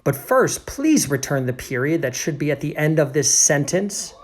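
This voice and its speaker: A man's voice: on the high side, very animated, moderate pace, pitched above the performer's own voice. A man voicing a flustered, indignant storybook narrator in an exclamatory, emphatic way. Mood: exasperated. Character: flustered, indignant book narrator alarmed by a mystery.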